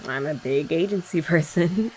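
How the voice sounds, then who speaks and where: A young woman in a sweet, chatty girlfriend roleplay for ASMR, talking softly and often laughing gently. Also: sits low, normal speed, a little hiss or hum.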